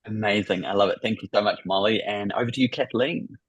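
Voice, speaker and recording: A middle-aged man with a New Zealand accent, warmly hosting an online workshop over a video call with effusive welcomes. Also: on the low side, quick pace, slightly rough, no echo, muffled recording. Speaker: business coach and workshop host.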